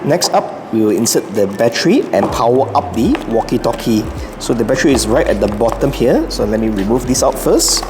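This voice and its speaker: Male YouTube host, mid-pitched, casual and explanatory, recorded in a reverberant room over clear background music. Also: lively intonation, fairly quick, hardly any pauses. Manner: explanatory, demonstrating step by step. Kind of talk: casual unboxing and setup guide. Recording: echoey room with long reverb, music behind.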